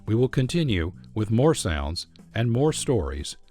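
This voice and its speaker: A man's voice: on the low side, very animated, a little slow, a little mellow, faint music behind. An American man hosting a radio program with scripted narration. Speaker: radio show host.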